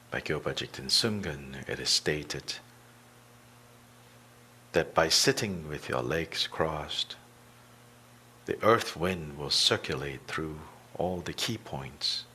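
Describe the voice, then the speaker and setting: Very deep-voiced man leading slow meditation. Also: bright, forceful tone, a little hiss or hum. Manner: deliberate, with long pauses between short phrases. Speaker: meditation teacher. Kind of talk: guided meditation instruction.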